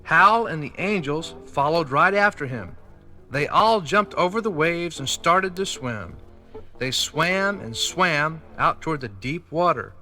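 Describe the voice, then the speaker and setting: Bright-voiced storybook narrator man. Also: on the high side, lively intonation, a little slow. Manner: clear, storybook delivery. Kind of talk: 1980s children's read-along narration. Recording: vintage vinyl record with faint music.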